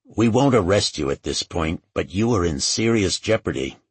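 A man performs a blunt male federal agent in a thriller audiobook. He speaks with firm authority, husky and matter-of-fact, in a dry recording. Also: low-pitched, lively intonation, moderate pace, dry recording. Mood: firm, pressing.

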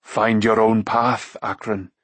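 A man's voice: fairly low pitch, flat delivery, husky, no room sound, exaggerated voice. Man voicing a grave, noble warrior prince. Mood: solemn, gentle. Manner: measured, solemn, gentle.

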